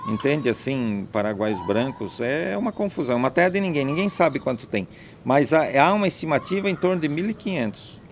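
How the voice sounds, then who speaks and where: A man's voice: fairly high pitch, expressive, fairly quick, slight background noise. A man being interviewed as a landowner, talking emphatically and stacking up points one after another. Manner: emphatic, listing and repeating points. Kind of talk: conversational interview.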